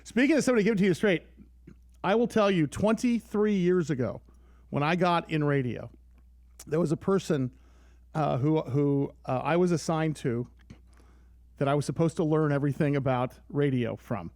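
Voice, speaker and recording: A middle-aged American man with a slightly high voice and a crackly, gravelly edge. He hosts a radio show and talks informally. His delivery is effusive and conversational. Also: moderate pace, darkish tone.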